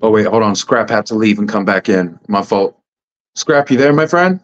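A loud-voiced man hosting a casual panel discussion over a video call. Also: expressive, moderate pace, muffled recording. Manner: loud, booming voice. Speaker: host. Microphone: computer mic on a video call.

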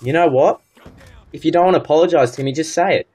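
A man's voice: a little high, fast, a little mellow, slightly rough. Man playing pushy, wounded phone scammer. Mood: pushy, offended. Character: pushy, easily offended phone scammer in first week. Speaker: prank caller. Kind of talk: improvised prank phone call.

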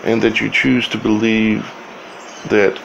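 A man giving informal scripture commentary as a video host, speaking in halting, broken phrases with long pauses. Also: lowish voice, little pitch movement, vocal fry, fairly bright tone, highs cut off, slight background noise.

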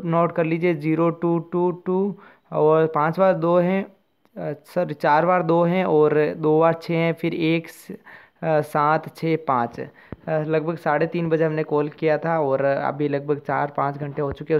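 A man with an Indian accent presenting an informal explanatory voice-over to viewers as a channel host. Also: on the high side. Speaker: host of a job-news channel. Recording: muffled phone-like lo-fi sound.

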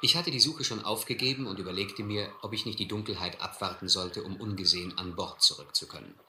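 A man acting a shrewd, adventurous seafarer in a radio drama, deliberate and controlled with a touch of irony. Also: low voice, pushed and bright, heavily acted. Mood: reflective, suspenseful. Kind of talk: radio drama acting, first-person narration and dialogue. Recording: roomy, slightly noisy old radio-play recording.

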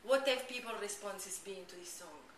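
Woman interviewer, bright voice, short halting questions, distant mic, echoey room. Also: mid-range voice, a little hiss or hum. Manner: brief questions in slightly broken English. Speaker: interviewer, host. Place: living room, indoors.

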